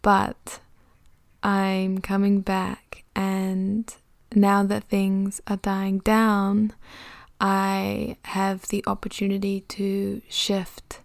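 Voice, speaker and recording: Podcast host: young woman, New Zealand accent, very creaky mid-pitched voice, casual, self-deprecating and chatty. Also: monotone, very slow, dry recording, clean studio sound. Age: young adult. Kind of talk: casual confessional podcast monologue.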